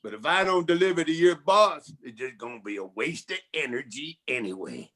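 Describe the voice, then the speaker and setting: An actor playing a glib, wisecracking 1940s private detective in a campy noir radio play. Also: male voice, fairly high pitch, expressive, normal speed, a bit bright. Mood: cocky. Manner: glib, slangy.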